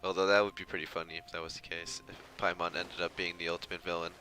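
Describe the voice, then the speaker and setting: A man's voice: low voice, little pitch movement, fast talker, sharp tone, soft background music. A man giving casual live gameplay commentary as a streamer, slangy and given to swearing and mimicry. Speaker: game streamer.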